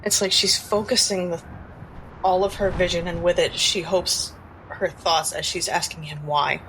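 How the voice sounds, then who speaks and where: Bright-toned woman playing a tabletop game. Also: lowish voice, steady tone, normal speed, slight background noise, rough recording. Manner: thoughtful, talks through her ideas. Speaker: player. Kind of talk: describing her character's actions.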